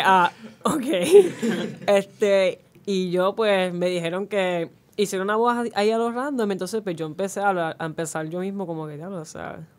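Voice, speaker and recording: A young man with a Puerto Rican accent chatting casually and hesitantly as an interview guest, very informal. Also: sits high. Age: young adult. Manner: hesitant, informal, leans on filler phrases. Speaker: student voice actor, interview guest. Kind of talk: casual chat.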